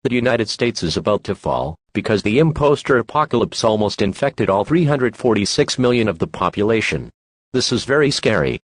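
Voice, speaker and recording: A man's voice: on the low side, big pitch swings, a little fast, husky, dry recording. Dry-sounding male emergency alert announcer. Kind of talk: scripted emergency alert narration.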